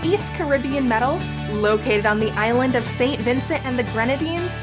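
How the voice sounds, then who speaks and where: A woman with a high voice reads a radio commercial as a brisk sales pitch. Loud music plays right behind her. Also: animated. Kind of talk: commercial ad read.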